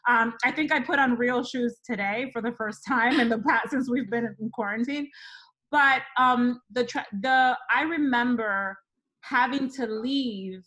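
A woman's voice: fairly high pitch, unhurried, pushed and bright, poor audio. A woman from the Dominican Republic hosting a casual chat, candid and rambling with many fillers. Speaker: host.

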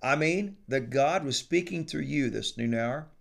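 A man reading the part of a kindly young farmer husband, calm and sincere. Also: medium pitch, unhurried, gravelly.

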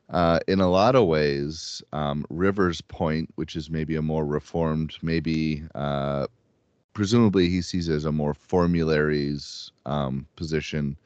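Low-voiced midwestern guy cohosting a podcast, chatty, dry muffled sound. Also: darkish tone, no echo. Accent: Midwestern American. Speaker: podcast co-host. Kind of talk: casual podcast discussion.

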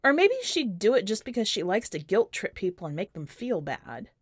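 A woman's voice: mid-range voice, big pitch swings, normal speed, no echo, clean studio sound. A woman hosting a podcast and reading a first-person short story in a wry, conversational and emphatic way. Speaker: podcast host and story reader.

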